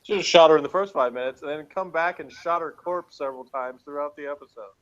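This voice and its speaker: A man's voice: fairly high pitch, quick pace, fairly bright tone, lo-fi sound. A man co-hosting a casual TV episode review, sarcastic and mock-exasperated in his manner.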